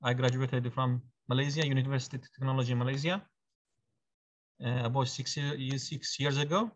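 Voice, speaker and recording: A man introduces his postdoctoral work in halting phrases full of fillers. His voice comes through a dull, lo-fi meeting connection. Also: medium pitch, fairly even intonation. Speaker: postdoctoral researcher.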